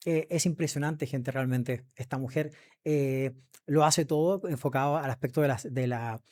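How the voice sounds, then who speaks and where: A man's voice: mid-range voice, moderate pace, a little mellow. A man hosting a conversational interview, speaking effusively and emphatically and often addressing the audience. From Chile. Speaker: podcast host.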